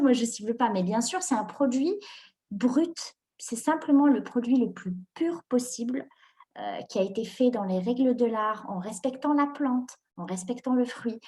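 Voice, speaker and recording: A young woman, born and raised in Morocco, answering interview questions in an animated way, laughing often and restarting her sentences with many fillers. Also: on the high side. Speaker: guest entrepreneur.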